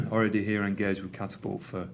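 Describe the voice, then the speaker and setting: Middle-aged Mancunian man, lowish voice, relaxed presenter with lots of ums, faint room ambience. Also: a little fast, slight background noise. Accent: Manchester. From England. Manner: conversational, with frequent ums and uhs. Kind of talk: conference presentation. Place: meeting room with an audience.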